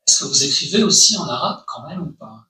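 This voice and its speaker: A man's voice: a little high, very animated, brisk, sharp tone, far from the mic. Male interviewer in echoey hall. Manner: formal questioning with hesitant fillers. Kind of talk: moderated public conversation. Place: university lecture hall.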